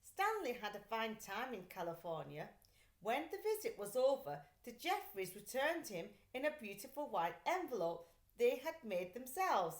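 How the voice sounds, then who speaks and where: A woman reads a children's story in a fairly high, very animated voice. Her phrasing is deliberate and expressive, like a teacher's. The room she records in is echoey. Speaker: teacher reading aloud.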